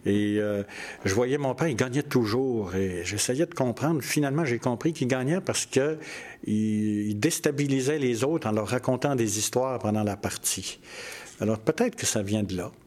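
An older man, a storyteller who talks in a relaxed interview and pauses often with many fillers. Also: medium pitch. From Quebec, Canada. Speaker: storyteller and festival organizer.

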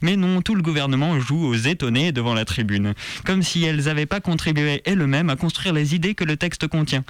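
A man's voice: on the high side, brisk, dry recording. A man reads scripted news commentary on community radio in a sarcastic, militant manner. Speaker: radio show presenter.

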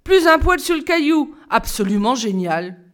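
A woman voicing a cheeky, excitable schoolboy who chatters in slangy bursts. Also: high voice, moderate pace, voice pushed up. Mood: excited.

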